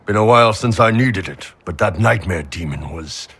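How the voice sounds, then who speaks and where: An actor playing a big, brash, blunt-spoken horned mercenary warrior in a video game, with a gravelly, husky voice. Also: male voice, fairly low pitch, animated, moderate pace, a bit bright, full character voice. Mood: gruff, casual. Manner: blunt, gruff, swearing. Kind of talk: video game cutscene acting.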